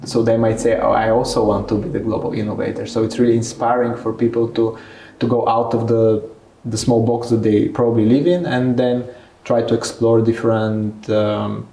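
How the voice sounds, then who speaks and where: Mellow male interviewer in an echoey room. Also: lowish voice, flat delivery, moderate pace, gravelly. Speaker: podcast interviewer. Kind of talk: conversational interview. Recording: roomy, echoing room sound.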